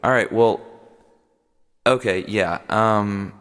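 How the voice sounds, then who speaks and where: A man hosts a comedy radio play in a deadpan, self-important ramble full of fillers. His voice sits fairly low. A long hall reverb lingers after his lines. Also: takes it slowly, clean tone. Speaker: podcast host.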